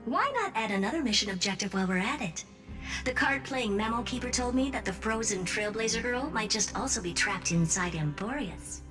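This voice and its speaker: A woman's voice: fairly high pitch, lively intonation, fairly quick, music in the background, close to an everyday voice. A woman voicing a brilliant, haughty, flippant scientist in a video game, breezy, self-assured and teasing. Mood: breezy, impatient.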